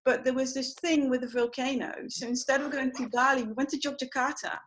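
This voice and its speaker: A woman's voice: high-pitched, fairly even intonation, fairly quick, a bit bright, poor audio. A woman hosting an online symposium over a video call, chatty and full of small fillers. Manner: chatty, storytelling, many fillers. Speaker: symposium host.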